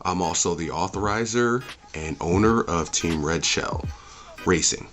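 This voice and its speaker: A man's voice: low voice, measured pace, a bit bright. A man hosting a review channel, speaking off the cuff in a blunt, emphatic, opinionated rant full of rhetorical questions and swearing. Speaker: host of a movie and game review channel.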